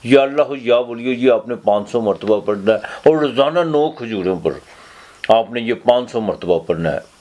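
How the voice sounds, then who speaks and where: A man's voice: medium pitch, expressive, moderate pace, darkish tone, lo-fi sound. A male astrologer giving an unscripted weekly horoscope, with frequent fillers and restarts.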